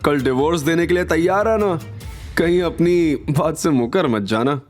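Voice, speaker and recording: A man's voice: on the high side, normal speed, soft background music, voice pushed up, natural voice. A man playing an arrogant, boastful young male rival in an audio drama. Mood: smug, taunting. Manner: boastful, taunting.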